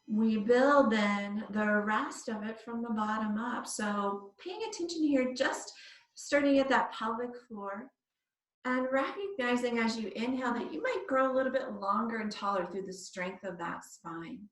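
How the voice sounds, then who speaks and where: A woman yoga teacher leading a guided body scan meditation, speaking in short phrases with pauses between them. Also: a little high, animated. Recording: echoey room, distant microphone.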